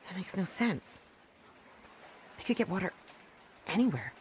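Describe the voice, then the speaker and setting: A woman's voice: lowish voice, big pitch swings, unhurried, darkish tone, slight background noise. An actress in an audio drama playing a wary, intense, driven rural woman doctor. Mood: wary, tense.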